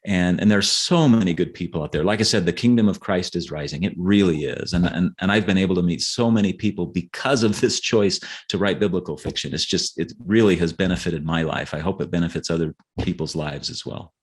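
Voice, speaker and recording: Man, middle-aged, low pitch, earnest, casual interview chat, repeated words, frequent check-ins. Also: a little fast, few pauses, breathy edge. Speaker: author guest.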